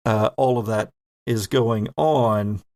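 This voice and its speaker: Gravelly man running a tabletop game. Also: lowish voice, slow pace, darkish tone, no room sound. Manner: conversational narration, addresses players directly. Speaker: game master. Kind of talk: tabletop game narration, improvised.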